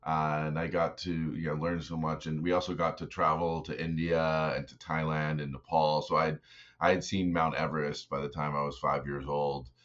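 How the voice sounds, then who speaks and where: A man from Saskatchewan, an interview guest, talking in a relaxed, reflective way with many fillers. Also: rumbling low, normal speed. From Saskatchewan, Canada. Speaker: guest, startup investor. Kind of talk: casual interview conversation. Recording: dull, lo-fi remote audio.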